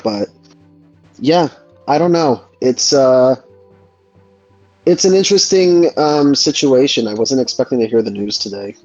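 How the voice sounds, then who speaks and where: A man's voice: medium pitch, a little slow, long pauses, a little mellow, dry recording. A man in a casual discussion, speaking in a reflective, hesitant way and often searching for words. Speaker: host of a gaming discussion. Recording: dull lo-fi mic, music underneath. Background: background music.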